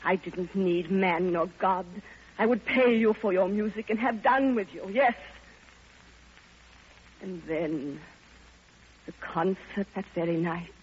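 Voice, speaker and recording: An actress playing a passionate, lonely elderly widow, theatrical and yearning, in an old radio drama. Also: female voice, mid-range voice, slow, long pauses, slight background noise, natural voice. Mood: wistful, impassioned. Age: older. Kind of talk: 1950s radio drama acting. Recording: dull old radio recording, faint music behind.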